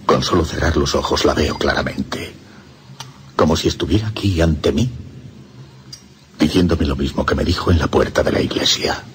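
A man's voice: rumbling low, moderate pace, long pauses. A man with a Castilian Spanish accent playing a lovesick, tormented young priest, confessing in an anguished radio drama monologue. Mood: haunted, confessing.

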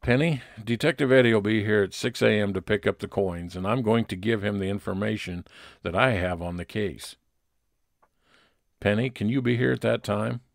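A man's voice: lowish voice, normal speed, gravelly, no echo, clean studio sound. A man narrating a scripted first-person private investigator story in old-time radio detective style.

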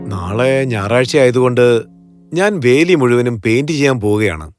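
A man's voice: medium pitch, lively intonation, normal speed, soft background music, lightly acted. A man playing a weary, irritable, grumbling husband in a fairy-tale cartoon, with an exasperated, complaining manner. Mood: calm.

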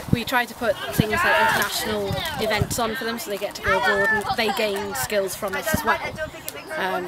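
A young woman from Cardiff with a Welsh accent, a Girl Guide leader, talking in a chatty way outdoors in an interview. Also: mid-range voice. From Cardiff, Wales. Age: young adult. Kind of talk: documentary interview. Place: outdoors by a wall. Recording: outdoor, loud crowd noise behind.

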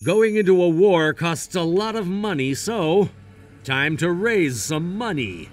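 An earnest young gangster from an anime cartoon. The voice sits a little high and moves freely up and down. He sounds loyal and keen as he addresses viewers, over light music. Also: lively intonation, takes it slowly. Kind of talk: anime voice acting, presenting to viewers.

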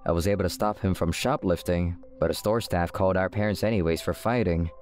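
A man's voice: deep voice, a little fast, a little mellow, soft background music, lightly acted. A man voicing a guarded teenage schoolboy who narrates his story casually in a manga dub. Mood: deadpan, narrating. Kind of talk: scripted first-person manga dub narration.

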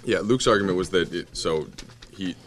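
A male sports reporter asking rapid, rambling postgame questions with restarts. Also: sits low.

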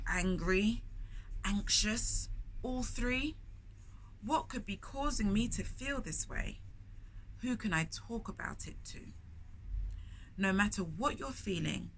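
Woman, middle-aged, children's storytelling, expressive, chatty asides, medium pitch, noisy bookshop ambience. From lives in London, England. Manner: expressive, chatty asides and questions to young listeners. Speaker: children's storytime host reading aloud. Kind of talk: read-aloud storytelling for young children. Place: indoors in a bookshop. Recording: clear background noise, shop ambience. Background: background ambience noise.

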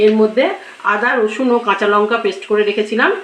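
A woman hosting a cooking channel, giving recipe instructions in a measured, step-by-step way in short phrases. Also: medium pitch, fairly even intonation, slight background noise. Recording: roomy echo, music behind.

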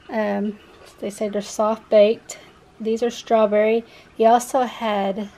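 Unhurried young woman chatting, noisy home recording. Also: medium pitch, darkish tone. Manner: chatty, rambling, self-correcting. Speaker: vlogger, parent showing a grocery haul. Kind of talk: casual unscripted product show-and-tell. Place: indoors in a home kitchen.

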